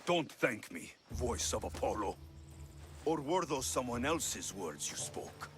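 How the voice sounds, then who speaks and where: An actor playing a blunt, brooding young Greek mercenary in a video game, questioning and challenging others. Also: male voice, medium pitch, sing-song, slow pace, fairly bright tone, slight background noise. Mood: accusing. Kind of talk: video game cutscene acting.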